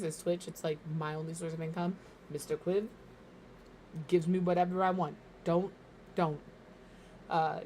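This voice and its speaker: A woman from Minnesota streaming from home, chatting casually and rambling, her voice slightly hoarse and crackly as it recovers. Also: on the low side. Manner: rambling, chatty, self-deprecating. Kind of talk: casual chat while gaming.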